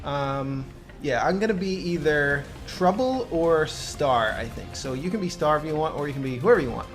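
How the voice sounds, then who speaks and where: A man gives casual commentary while playing a video game with a partner. His voice is a little high, and he explains in a hesitant, halting way. Faint music sits behind him. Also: expressive. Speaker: gaming channel host.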